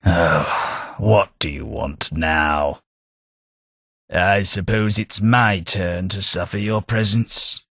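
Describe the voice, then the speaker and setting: A prim, disdainful old ghoul. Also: male voice, fairly even intonation, fairly bright tone, dry recording, exaggerated voice. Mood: contemptuous. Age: older. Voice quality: low, dry, measured and cutting. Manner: refined, sardonic, easily offended. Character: old, proper, disdainful male ghoul companion. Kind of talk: game companion voice lines.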